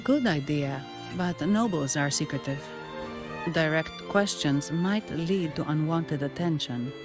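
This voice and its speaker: A composed young noblewoman who lays out plans in a calm, measured voice. She sounds controlled and sure of herself. Game music plays clearly beneath her. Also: sits low.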